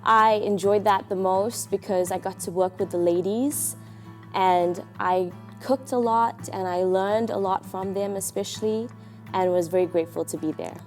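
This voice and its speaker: A woman's voice: medium pitch, steady tone, moderate pace, a little mellow, soft background music. A woman from South Africa with a South African accent, earnestly recounting her volunteer year. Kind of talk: testimonial interview.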